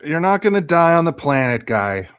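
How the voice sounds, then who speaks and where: Casting: man as cocky leading-man commander, highish voice with swooping intonation, dry radio-play sound. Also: sing-song, moderate pace, a bit bright, smooth, no echo. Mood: reassuring. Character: cocky, womanizing male lead actor, self-styled commander. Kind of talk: live radio theatre script read.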